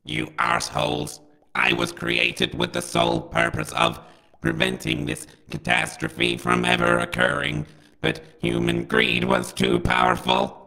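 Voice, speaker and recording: Croaking, bitter broken android. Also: male voice, fairly high pitch, wildly swooping pitch, measured pace, pushed and bright, full character voice. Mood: bitter, sarcastic. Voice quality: raspy, croaking. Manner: bitter, sarcastic, insulting. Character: dying, sardonic male android doomsayer, split in half. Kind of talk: scripted audio comedy acting.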